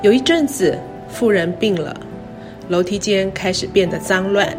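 A middle-aged woman with a Taiwanese Mandarin accent gives a scripted, reflective talk in measured, careful phrases. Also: mid-range voice. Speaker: university president. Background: background music.

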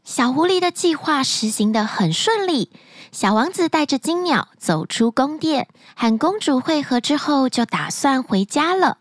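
A woman narrating a fairy tale as a bedtime story, gently and expressively, close to the mic. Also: high-pitched, big pitch swings, unhurried, no echo.

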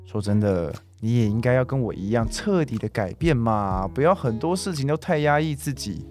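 A man's voice: medium pitch, animated, normal speed, over music, close to an everyday voice. A man playing an easygoing, playful young housemate. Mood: playful, unbothered. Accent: Taiwanese Mandarin. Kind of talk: radio drama acting.

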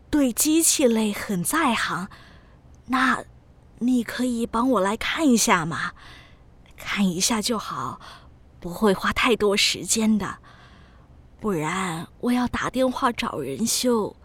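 语速缓慢、嗓音沙哑的老奶奶. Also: female voice, a little high, animated, slow, fairly bright tone, exaggerated voice. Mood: fretful, pleading. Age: older. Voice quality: husky. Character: kindly, chatty, fretful elderly widowed grandmother neighbor. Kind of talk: Mandarin audio drama acting.

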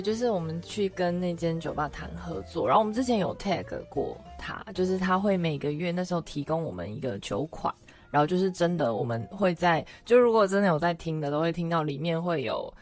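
A young woman, co-hosting a relaxed chat, often chiming in to agree and invite listeners. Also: low voice, brisk. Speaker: radio drama co-host and voice actor. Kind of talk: casual chat. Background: music.

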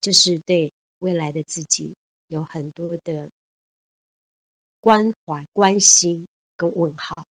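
A woman in her fifties from Taiwan, a guest in a casual interview, cheerful and quick to laugh. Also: on the low side, fairly even intonation, no echo, rough recording. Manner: cheerful, laughs often, quick bursts of enthusiasm.

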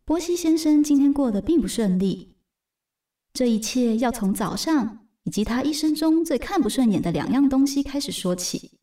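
Woman narrator, mellow and highish, scripted storybook delivery, slightly off-mic. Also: expressive, moderate pace, recorded from a distance.

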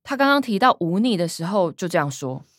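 Curt, low-voiced Taiwanese daughter. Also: female voice, sing-song, fairly quick, no echo. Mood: probing. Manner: curt, dry, questioning. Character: cool, blunt grown daughter, shrewd and money-focused. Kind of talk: radio drama acting.

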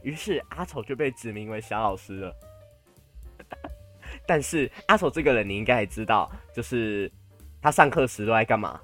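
A man's voice: fairly low pitch, lively intonation, moderate pace, pauses often, a bit bright, soft background music. A young man with a Taiwanese Mandarin accent co-hosting a podcast in casual banter with a playfully theatrical manner. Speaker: podcast co-host.

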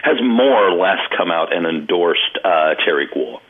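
Low-voiced man on a tinny phone line. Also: expressive, slightly rough. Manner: hesitant, many pauses and restarts. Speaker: co-host commenting on news. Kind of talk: casual political commentary.

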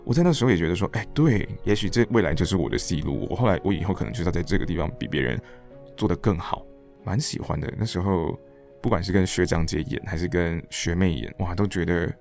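A man's voice: low-pitched, expressive, fast talker, creaky, a little mellow, faint music behind. A man with a Taiwanese Mandarin accent, talking earnestly and reflectively as a director and actor being interviewed. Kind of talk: reflective casual interview chat.

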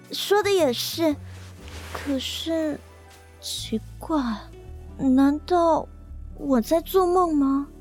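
A woman playing an excitable, bashful young woman in love in a radio drama, expressive and playfully pouty. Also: sits high, faint music behind. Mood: wistful musing. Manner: expressive, drawn-out musing, quick to fluster. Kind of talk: Mandarin radio drama acting.

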